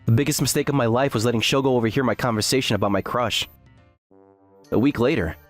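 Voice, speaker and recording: A man's voice: mid-range voice, fast, faint music behind, lightly acted. A man playing an earnest, self-deprecating graduate student who narrates his story in an anime-style dub. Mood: weary. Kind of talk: first-person scripted narration with acted dialogue.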